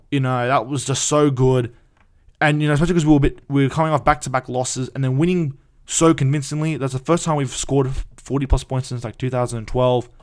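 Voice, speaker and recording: A young man, hosting a casual solo fan podcast in an excited, rambling way with frequent restarts. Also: mid-range voice, clean tone.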